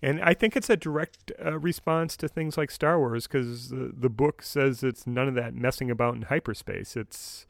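Dry-recorded man in measured casual chat. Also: mid-range voice, vocal fry, no echo. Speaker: podcast co-host. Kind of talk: casual discussion of a radio drama.

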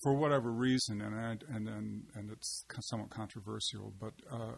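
A man, a poet, answering interview questions in a halting, reflective way, often pausing with fillers and restarting his sentences as he searches for words. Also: on the low side, fairly even intonation, creaky, breathy edge. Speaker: poet and translator, interview guest. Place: seated at a table.

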